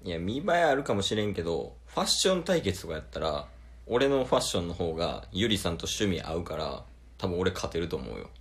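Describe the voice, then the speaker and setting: A man's voice: low voice, very animated, fairly quick. A man acting a proud, competitive contestant rival in an amateur radio drama, talking bluntly and informally with a Kansai accent. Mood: bantering. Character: competitive older male rival on a dating show, proud and determined.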